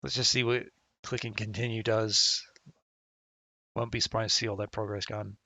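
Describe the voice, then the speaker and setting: A man with a gravelly voice doing casual livestream game commentary, muttering as he thinks aloud and reads game text. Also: lowish voice, flat delivery, moderate pace, pushed and bright, no room sound. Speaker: game streamer. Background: game music.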